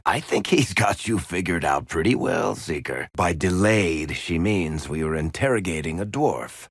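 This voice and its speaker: A low-pitched, sardonic dwarf rogue spins his lines with a very lively, swooping delivery. He is a glib, teasing storyteller with shifty charm, a video game character recorded close and dry. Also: no echo. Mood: dry, probing.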